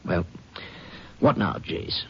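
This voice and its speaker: An actor playing a plain-spoken, folksy rural sheriff in a 1950s radio drama. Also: male voice, takes it slowly, dull audio, close to an everyday voice. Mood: grim, pondering. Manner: folksy, drawling, ungrammatical rural speech. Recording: noisy, band-limited old radio recording.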